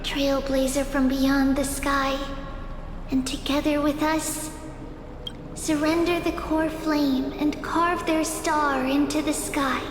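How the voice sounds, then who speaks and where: A woman's voice: high-pitched, flat delivery, slow pace, noisy background. A woman voicing a solemn little oracle in a fantasy video game, reciting in a ceremonial, incantatory manner. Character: small, solemn female oracle reciting sacred prophecy. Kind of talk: dramatic video game voice acting. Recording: echoey long reverb with music.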